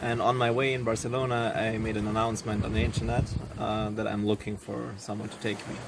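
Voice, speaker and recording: A young man from Austria, his first tongue, talks out on the deck of a yacht at sea, pausing and restarting as he searches for words. His voice has a gravelly rasp at mid pitch. Loud wind and engine noise run under everything. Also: flat delivery. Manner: thoughtful, hesitant, many fillers and restarts. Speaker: crew member on a sailing yacht. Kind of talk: casual interview chat.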